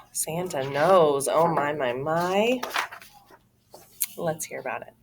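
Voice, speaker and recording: A woman reads a picture book aloud for children. Her delivery is dramatic and very lively in pitch, moving at an unhurried pace with clear expressive emphasis. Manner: dramatic, exclaiming. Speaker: teacher reading aloud.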